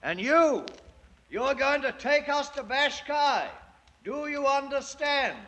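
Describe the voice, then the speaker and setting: High, bright, swaggering British ex-soldier. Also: male voice, animated, slow, full character voice. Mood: imperious. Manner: commanding, boastful, colloquial. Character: bold, ambitious British ex-soldier turned self-made king. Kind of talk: 1950s radio drama acting.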